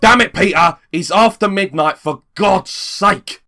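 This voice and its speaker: Exasperated, sleep-deprived man acted by a reader, loud. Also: high-pitched, measured pace, no echo, studio quality, faint music behind, pitched above the performer's own voice, full character voice. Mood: furious shouting. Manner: exasperated, complaining, emphatic. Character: exhausted, irate neighbour driven to breaking point. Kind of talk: dramatised short story reading.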